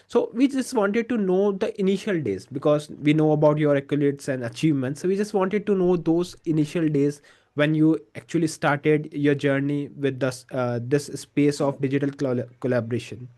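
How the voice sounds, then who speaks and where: A man's voice: on the high side, normal speed, dark tone. A man hosting an interview podcast in a polite, formal way. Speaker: podcast host.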